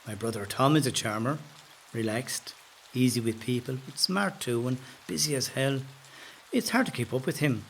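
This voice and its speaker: Irish male storyteller, acted by a man, mid-pitched, affable and wry, confiding audio drama monologue. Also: moderate pace, vocal fry, a little hiss or hum, close to an everyday voice, low-quality recording. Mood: affable, amused. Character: affable, wry Irish rebel's brother recounting events. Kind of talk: first-person dramatic monologue.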